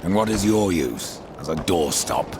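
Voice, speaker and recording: An actor playing Death, a grim, sardonic horseman in a video game, with a deep gravelly voice and a terse, dry delivery. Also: expressive, moderate pace, background noise. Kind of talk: video game cutscene acting. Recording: loud music under the voice.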